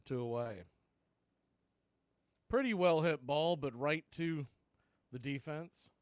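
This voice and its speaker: A man's voice: clear, resonant tone, no echo. Man calling baseball play-by-play. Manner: brisk, conversational, calling each pitch with count updates. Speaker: radio play-by-play announcer. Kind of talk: live baseball play-by-play commentary.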